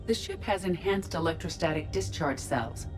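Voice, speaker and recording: A woman voicing a calm ship artificial intelligence in a video game, speaking in a measured, formal, analytical way. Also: lowish voice, music in the background, lightly acted. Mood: measured, matter-of-fact.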